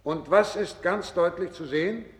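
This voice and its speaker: A man's voice: high-pitched, normal speed. An actor playing an authoritative professor in a German radio play, formally instructing and questioning his medical students. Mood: instructive. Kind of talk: German radio play acting, lecture delivery. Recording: echoey hall with long reverb.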